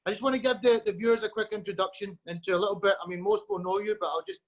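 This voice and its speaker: A man from Scotland hosts an interview over a call in a high voice. The audio is dull and lo-fi. He speaks in a friendly, unhurried way with many fillers. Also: steady tone. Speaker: host.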